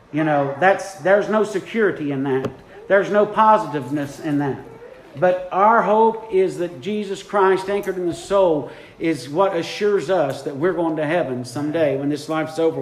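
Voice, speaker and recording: A man's voice: fairly high pitch, slight background noise. A man preaching a sermon in a church, fervent and repeating his lines for emphasis. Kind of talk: impromptu sermon. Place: church sanctuary. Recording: echoey church room.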